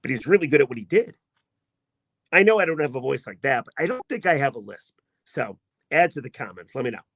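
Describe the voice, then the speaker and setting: A man hosting a sports podcast, talking in a casual, emphatic and self-mocking way, with a voice he himself calls not deep. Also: very animated, brisk, fairly bright tone. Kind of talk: casual sports commentary monologue.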